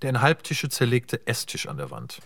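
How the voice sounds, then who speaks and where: A man narrating stage directions for a radio play in a measured, even and descriptive way. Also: mid-range voice, vocal fry, no room sound. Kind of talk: scripted narration.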